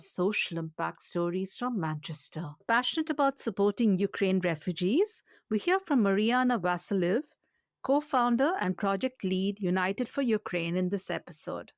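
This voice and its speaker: A woman with a Mancunian accent hosting a podcast, reading a polished introduction and then asking gentle interview questions. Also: mid-range voice, animated, moderate pace, no echo, studio quality. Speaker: podcast host.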